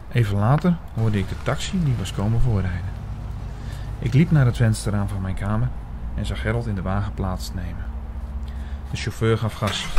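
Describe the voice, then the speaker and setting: A man playing a puzzled, suspicious investigator who narrates his story in a measured, reflective way in an audio drama. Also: lowish voice, noisy background, close to an everyday voice. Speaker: narrator and lead actor.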